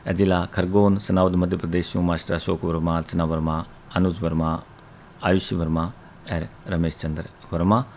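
A man's voice: deep voice, little pitch movement, normal speed, darkish tone, slight background noise. A man with a Rajasthani accent hosting a radio request show, reeling off long lists of listener names and places. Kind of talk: reading out listener letters.

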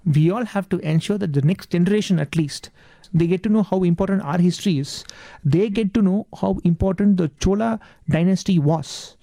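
Creaky-voiced Tamil Indian man, dry close sound. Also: high voice, brisk, warm tone, dry recording. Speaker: interview guest. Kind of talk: earnest interview answers.

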